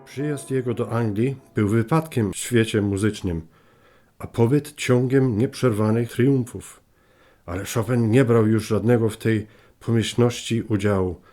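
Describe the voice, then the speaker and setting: An actor playing a grave 19th-century gentleman, a man reading his letter aloud in a formal, literary manner for a radio play. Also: mid-range voice, moderate pace, natural voice.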